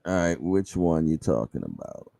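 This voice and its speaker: A man's voice: rumbling low, very animated, normal speed, gravelly, dark tone, no room sound, studio quality. A man in his fifties hosting a boxing livestream, chatting casually and reading viewer comments, chuckling and repeating phrases with slangy banter. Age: 50s.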